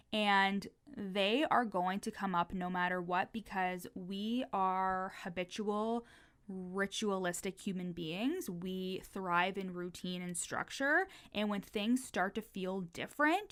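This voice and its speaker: A woman's voice: medium pitch, a bit bright, clean tone. Young woman, twenties, podcast host, casual swearing, frequent fillers, slight stutter. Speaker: podcast host and business coach. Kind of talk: casual solo podcast monologue.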